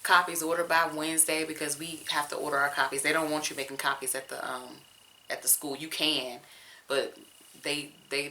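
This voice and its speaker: A woman's voice: extremely low pitch, sharp tone, a little hiss or hum. A young woman from Georgia with a Southern accent, a teacher chatting candidly to her viewers while she detangles her hair at home. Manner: candid, chatty, talks straight to viewers with casual slang. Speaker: teacher and vlogger.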